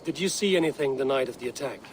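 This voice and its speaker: A man's voice: medium pitch, quick pace, slight background noise, close to an everyday voice. A man playing a direct, businesslike Viking warrior hero in a video game. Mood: matter-of-fact. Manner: terse, businesslike. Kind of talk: video game dialogue acting.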